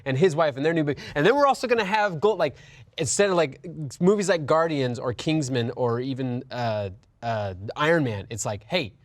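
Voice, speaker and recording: A man's voice: on the high side, lively intonation, normal speed, dry recording. A man talking as a panelist in an opinionated casual discussion, making his points emphatically in repeated lists. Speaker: talk show panelist.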